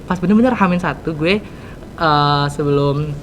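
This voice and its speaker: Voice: mid-range voice, moderate pace, slight background noise. A teenage podcast host with a Jakarta accent, chatting casually and mixing English into slangy, informal speech. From Indonesia. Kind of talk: casual interview chat.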